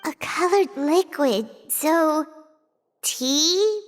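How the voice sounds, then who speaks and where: A woman voicing a tiny, excitable fairy girl in a video game, squeaky and childlike, full of questions and exclamations. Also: drawn-out words, faint music behind, heavily acted. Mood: curious. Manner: exclamatory, inquisitive. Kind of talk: cartoonish video game voice acting.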